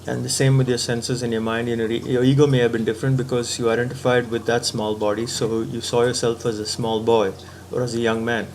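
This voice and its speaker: A man's voice: mid-range voice, little pitch movement, brisk, hardly any pauses, slight background noise. A man giving a patient philosophical talk, reasoning step by step and often checking in with the listener. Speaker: spiritual teacher.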